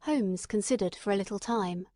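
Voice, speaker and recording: Measured woman narrator, dry close sound. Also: medium pitch, warm tone, dry recording. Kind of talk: scripted audiobook narration of a classic novel.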